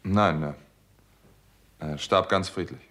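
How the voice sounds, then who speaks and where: A man's voice: low voice, slow pace, fairly bright tone. A man playing a principled, determined doctor hero in a 1960s German radio crime drama, earnest and dry in manner. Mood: gentle. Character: principled, plain-spoken young doctor hero, dryly determined.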